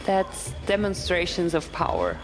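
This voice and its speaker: Excited female co-commentator with faint music in the background. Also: fairly low pitch, rough recording. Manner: enthusiastic, quick to react. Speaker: event co-commentator. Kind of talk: live sports commentary.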